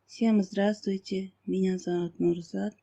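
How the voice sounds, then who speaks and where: Woman, 30, slow monotone, dark, dull lo-fi call audio. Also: medium pitch, gravelly. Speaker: English teacher introducing herself.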